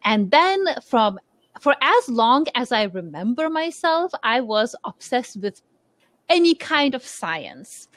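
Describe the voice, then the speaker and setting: A woman's voice: on the high side, big pitch swings, slow pace, fairly bright tone, no room sound. A woman in her forties from Lithuania, a research scientist answering interview questions in a thoughtful, hesitant way with many fillers.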